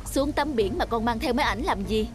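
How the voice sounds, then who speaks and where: A woman's voice: on the high side, lively intonation, brisk, music in the background, natural voice. A woman playing an exasperated, reproachful mother who scolds her children in a cartoon. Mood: exasperated, scolding. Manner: nagging, reproachful. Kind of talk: cartoon dub.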